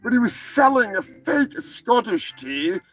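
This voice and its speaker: A man's voice: very high voice, sing-song, unhurried, fairly bright tone, soft background music. A man hosting a live show, talking over the news in a loose, sarcastic, rambling way with lots of swearing and laughter. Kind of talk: casual profane news commentary.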